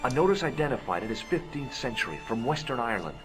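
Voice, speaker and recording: An actor playing a curious, dryly sarcastic young amateur sleuth in an adventure game. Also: male voice, mid-range voice, sing-song, fast, over music, natural voice. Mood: narrating. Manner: dry, sarcastic. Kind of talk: adventure game voice acting, part narration. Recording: noisy game audio capture.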